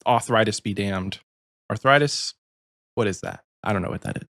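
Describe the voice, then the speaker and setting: A man in his early thirties co-hosting a podcast, easygoing and quick to laugh. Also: on the low side, a bit bright, dry recording, studio quality. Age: 30s. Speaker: podcast co-host. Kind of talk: casual chat.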